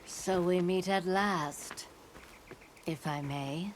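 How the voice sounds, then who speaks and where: An actress playing an ancient, serene, all-knowing sage in a video game, speaking in a measured, solemn way. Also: female voice, on the low side, a little hiss or hum. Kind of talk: video game cutscene acting.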